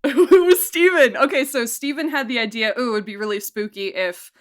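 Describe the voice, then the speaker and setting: A woman's voice: a little high, fairly quick. Chatty, laughing woman producer. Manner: chatty, animated, laughs often, uses "like" a lot. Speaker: producer and director of an audio drama. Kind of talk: casual interview conversation.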